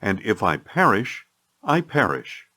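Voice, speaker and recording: A man voicing a resolute, courteous queen in an audiobook scripture reading, measured and earnest. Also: lowish voice, huge pitch swings, bright, forceful tone, full character voice.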